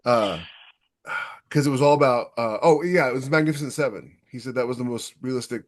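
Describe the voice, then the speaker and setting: A man's voice: medium pitch, a little fast. A man co-hosting a podcast, talking in a casual, conversational way. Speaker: podcast co-host. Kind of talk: casual chat.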